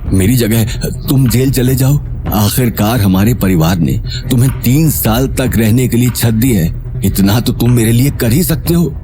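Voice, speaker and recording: ऑडियो ड्रामा में एक आदमी पचास पार के डरपोक, स्वार्थी, गिड़गिड़ाते और हुक्म चलाते ससुर का किरदार निभा रहा है।. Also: male voice, mid-range voice, a little slow, dark tone, natural voice. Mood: pleading, scared. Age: 50s. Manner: pleading, hesitant, bossy. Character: cowardly, pleading, selfish father-in-law in his fifties.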